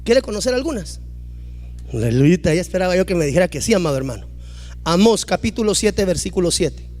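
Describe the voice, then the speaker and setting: High-voiced man preaching emphatically. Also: sing-song, a little fast. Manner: emphatic, rising to loud exclamations, acting out quoted dialogue. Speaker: pastor. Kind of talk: preaching a sermon.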